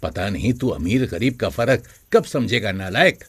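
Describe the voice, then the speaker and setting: A man's voice: fairly low pitch, lively intonation, moderate pace, husky, no echo. An actor playing a haughty, scornful rich father who scolds with contempt in a story. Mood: scolding.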